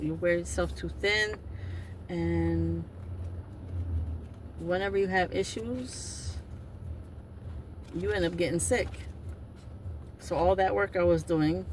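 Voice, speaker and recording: A woman in her fifties doing an oracle card reading, talking in a rambling, chatty way that often interrupts itself. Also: fairly low pitch. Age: 50s. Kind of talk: casual spiritual card reading. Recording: distant mic with faint noise.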